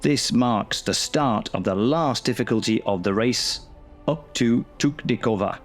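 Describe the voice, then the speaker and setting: Measured male documentary narrator over music. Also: mid-range voice. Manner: measured, informative. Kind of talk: scripted narration.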